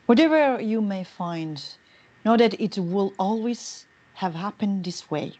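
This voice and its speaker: A stern, enigmatic cult woman acted by a female reader at a table read. She speaks very slowly at a middling pitch, with marked swings of intonation. Her words sound like cryptic pronouncements. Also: animated, a little mellow, highs cut off. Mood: solemn.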